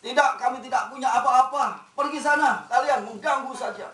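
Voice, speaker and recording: Brief: storyteller voicing a snappy, mean villager, high and curt, heard from a distance. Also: moderate pace, fairly bright tone, soft background music. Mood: rude. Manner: curt, dismissive. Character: rude stingy villager, adult. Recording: roomy, distant, faint ambience.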